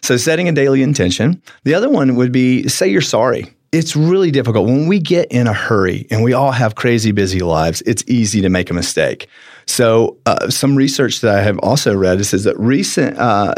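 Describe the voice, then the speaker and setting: A man's voice: on the low side, no room sound. A middle-aged man co-hosting a casual studio talk show, earnest, often restarting his sentences and laughing easily. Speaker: co-host. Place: radio studio.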